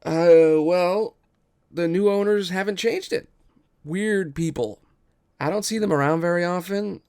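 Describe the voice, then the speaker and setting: Glib young man acted by a male reader, evasive and casual, pitched up, stumbling through excuses in a table read. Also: measured pace, darkish tone, clean tone, no room sound, clean studio sound. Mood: breezy, evasive. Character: glib, fibbing young man, overlooked brother. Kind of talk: table read of a musical script.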